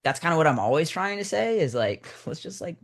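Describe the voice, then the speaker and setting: A man's voice: a little high, sing-song, a little fast, vocal fry. A man talking casually as a writer guest in an interview, informal and peppering his talk with like. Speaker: screenwriter guest. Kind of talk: casual chat.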